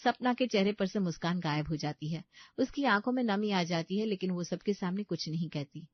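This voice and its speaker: A woman's voice: fairly low pitch, fairly quick, no echo, muffled recording. Woman narrating a story, dry sound. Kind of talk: scripted bedtime story narration in Hindi.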